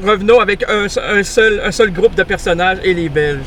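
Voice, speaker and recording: A man's voice: high-pitched, moderate pace. A man with a Québécois accent, interviewing casually in a polite, agreeable way. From Quebec, Canada. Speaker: radio interviewer. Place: inside a car. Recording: faint traffic noise, in a car.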